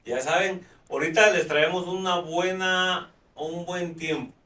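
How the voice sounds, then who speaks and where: A man's voice: sits high, fairly even intonation, a little slow, sharp tone. Chatty male talk show host. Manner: chatty, many fillers and restarts. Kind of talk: live talk show chat. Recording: roomy, distant mic.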